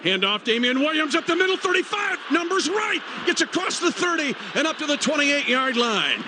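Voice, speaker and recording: High-pitched American sportscaster calling football. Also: male voice, big pitch swings, moderate pace. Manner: clipped, urgent phrasing. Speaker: radio play-by-play announcer. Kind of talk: live American football commentary. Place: stadium broadcast booth. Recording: noisy live stadium radio broadcast.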